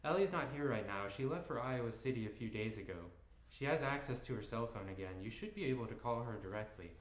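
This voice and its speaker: A man reading a calm, measured father. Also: mid-range voice, close to an everyday voice. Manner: measured, polite. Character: calm middle-aged father on the phone. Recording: echoey room.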